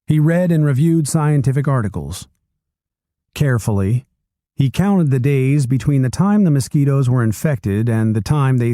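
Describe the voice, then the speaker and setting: Calm man reading a book aloud. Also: medium pitch, no room sound, clean studio sound. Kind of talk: read-aloud narration of a nonfiction book.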